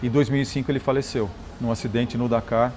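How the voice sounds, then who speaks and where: A man's voice: mid-range voice, quick pace, a little hiss or hum. Brazilian man telling rally stories. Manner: relaxed, repeats and restarts words, says "né?" often. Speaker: guest, motorcycle engineer and rally champion. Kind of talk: conversational interview storytelling.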